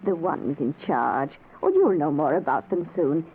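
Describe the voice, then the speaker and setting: A gentle ghostly wife with a low, swooping voice, patient and genteel in old radio drama style. The sound is muffled and narrow. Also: female voice, animated, unhurried, a little hiss or hum. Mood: soothing. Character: gentle, soothing ghost wife guiding the newly dead. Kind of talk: 1940s radio drama acting.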